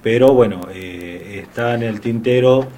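A man from southern Patagonia with an Argentine Spanish accent, a guest on a radio show, talking haltingly with many fillers. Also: mid-range voice, mellow, a little hiss or hum. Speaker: guest musician. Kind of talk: radio interview chat.

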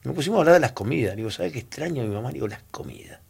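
Raspy, lively male host. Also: medium pitch, very fast. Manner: retells stories with repeated "digo". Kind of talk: casual chat.

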